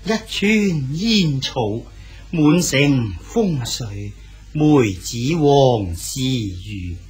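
An actor playing a cultured Song dynasty poet and prefect, speaking Cantonese and reciting verse slowly. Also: male voice, mid-range voice, animated, a little mellow, soft background music. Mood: genial. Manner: measured, declaims poetry slowly. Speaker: Su Dongpo character in a radio drama. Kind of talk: 1980s style Cantonese radio drama acting.

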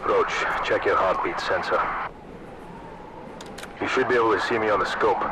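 An actor voicing a seasoned British special forces soldier in a video game, giving measured, confident instructions. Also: male voice, fairly low pitch, noisy background, lightly acted. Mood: calm, focused. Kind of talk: scripted video game mission dialogue.

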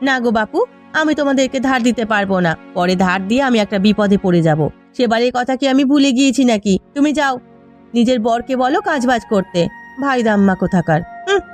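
Casting: woman as stingy village sister-in-law, cartoon, grumpy and scornful, voice pushed lower, music behind. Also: expressive, fairly quick, close to an everyday voice. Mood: scornful, scolding. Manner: scolding, sneering. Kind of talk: Bengali cartoon voice acting.

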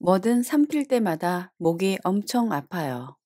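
A woman reading short Korean phrases as prompts in a language lesson, with brisk delivery. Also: medium pitch, big pitch swings, dark tone.

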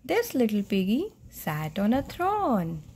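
Slow, mellow Indian woman reading aloud. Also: on the high side, huge pitch swings, slight background noise. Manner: simple, instructive, prompting questions. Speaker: mother reading to a small child. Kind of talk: picture-book read-aloud with questions to a child. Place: at home, indoors on a bed.

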